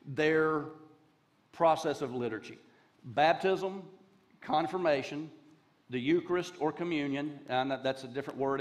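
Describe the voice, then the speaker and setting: A Southern man in his fifties preaches from a big dark church stage in a relaxed, folksy way, tossing in jokes and asides. His voice sits a touch high for a man, and a headset mic keeps it close. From Charlotte, North Carolina. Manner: folksy, joking asides, gestures while pacing the stage. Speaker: guest pastor. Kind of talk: conversational sermon.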